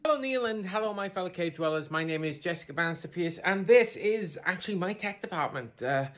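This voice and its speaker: A woman's voice: on the low side, expressive, brisk. A middle-aged woman giving a jokey, self-deprecating tour of her computer room, filmed on a phone. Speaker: viewer video contributor. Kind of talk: casual home tour video. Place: room full of old computers, indoors. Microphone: phone held at arm's length.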